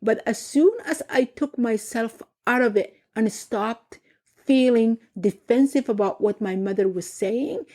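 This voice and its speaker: A middle-aged woman from Somalia, narrating her own family memoir in a reflective, unhurried way. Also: a little high, warm tone. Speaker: podcast host and narrator. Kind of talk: personal memoir storytelling.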